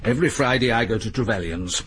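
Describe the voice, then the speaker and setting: An actor playing a blunt, hearty older army major in a BBC radio drama, speaking in short, clipped upper-class phrases. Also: male voice, mid-range voice, fast, a bit bright, a little hiss or hum, lightly acted. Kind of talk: 1940s-style BBC radio acting.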